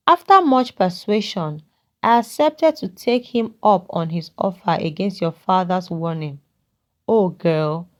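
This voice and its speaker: Woman as kindly old man, fatherly, regretful, measured, folktale storytelling. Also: fairly low pitch, a little mellow. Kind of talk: character dialogue in a narrated folktale.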